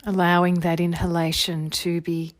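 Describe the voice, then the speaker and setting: A woman leading a guided meditation as a teacher, giving gentle, soothing body-scan instructions in an unhurried way. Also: fairly low pitch, little pitch movement, no echo, studio quality. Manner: soothing, unhurried, gentle instruction with long phrases trailing softly.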